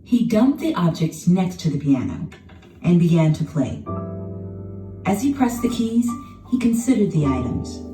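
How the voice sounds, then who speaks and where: A woman narrating a children's storybook in an expressive, dramatic scripted style. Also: fairly low pitch, a little slow. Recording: roomy, distant sound with music behind.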